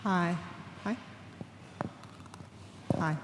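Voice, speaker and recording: A woman's voice: lowish voice, extremely slow, crowd noise. A woman with an Australian accent introducing herself at a panel event. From Sydney, Australia. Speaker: food project team member. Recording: echoey hall with background noise and clicks.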